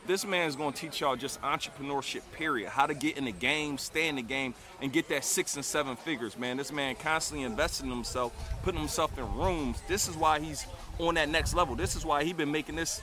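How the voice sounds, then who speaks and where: A man's voice: on the high side, brisk. A man giving a hyped endorsement, emphatically urging listeners directly. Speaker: guest in a promo spot.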